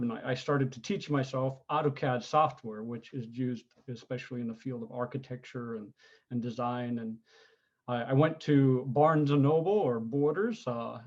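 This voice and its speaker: A California man in his forties, speaking, answers interview questions in a relaxed, reflective way. His voice sits in a middle register and comes through slightly dull and lo-fi. He often pauses and restarts his sentences. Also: medium pitch. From United States, California. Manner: thoughtful, unhurried, frequent ums and restarts. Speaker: interview guest, historian. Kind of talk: conversational interview.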